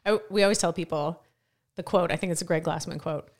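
Lady host chatting fast, lowish voice, laughs a lot and says like all the time. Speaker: podcast host and CrossFit coach. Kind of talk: casual interview chat.

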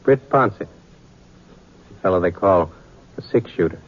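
Casting: vengeful frontier gunslinger, low voice, taunting drawl, dull vintage radio sound. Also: male voice, expressive, measured pace. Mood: confrontational. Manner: drawling, rustic, taunting speech. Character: menacing, vengeful male western gunman. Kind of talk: 1950s radio western acting. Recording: muffled old radio recording.

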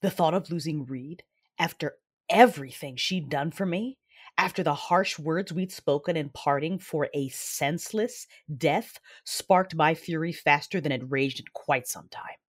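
An actress playing a cold, menacing female Sith commander, narrating in the first person with commanding, deliberate drama over music. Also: sits low, husky. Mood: grim narration. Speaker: narrator and lead character. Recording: loud music bed underneath.

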